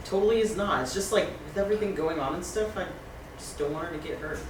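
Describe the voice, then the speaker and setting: A man playing a defensive, awkward high school boy at a screenplay table read, in casual, hesitant teen speech. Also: fast, close to an everyday voice. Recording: echoey, noisy room from far off.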